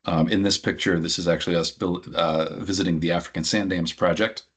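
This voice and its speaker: A man's voice: sits low, steady tone, a little fast, slightly rough. A middle-aged man from New Hampshire, a program director, presenting an information session in a conversational way with frequent ums. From New Hampshire, USA. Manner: filler-heavy, conversational explaining.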